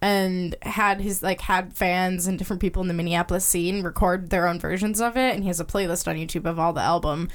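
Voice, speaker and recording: A woman's voice: fairly low pitch, fairly even intonation, a little fast, hardly any pauses. A young woman, an arts writer getting over a cold, chatting enthusiastically about music with plenty of fillers. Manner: enthusiastic, laughs easily, rambling with fillers. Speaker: arts and culture writer, podcast guest. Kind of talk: casual music-recommendation chat.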